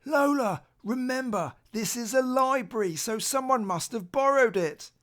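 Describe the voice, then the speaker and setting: Woman being a calm sensible big brother kid in a storybook, slow n patient, high voice. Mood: hushing, patient. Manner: calm, coaxing, explaining. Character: patient, reasonable older brother, a young boy. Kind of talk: children's storybook read aloud.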